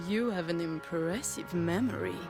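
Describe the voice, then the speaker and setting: An actress playing a playful, theatrical madame of a mask shop in a video game, with genteel, flowery phrasing. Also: female voice, on the low side, a little slow, gravelly, music in the background. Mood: mysterious, musing. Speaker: shopkeeper.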